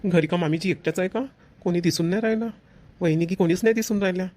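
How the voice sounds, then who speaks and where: A man's voice: high-pitched, fast, a little mellow, natural voice. A man voicing a concerned, touchy son-in-law in a family cartoon, earnest and complaining, with a Vidarbha Marathi accent. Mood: inquisitive. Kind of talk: family comedy cartoon dialogue.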